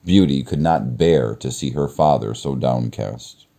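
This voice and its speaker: A man with an extremely low, mellow voice reads a children's story aloud. His delivery is slow and measured, with an expressive storyteller's touch. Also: gravelly. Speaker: storybook reader. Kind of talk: children's read-aloud narration.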